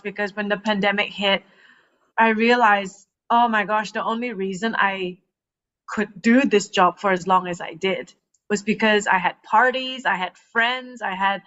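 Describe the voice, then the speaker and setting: Lively woman from Malaysia, muffled sound. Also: medium pitch. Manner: animated, laughs often, restarts phrases. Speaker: guest, transformational coach. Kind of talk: casual podcast conversation.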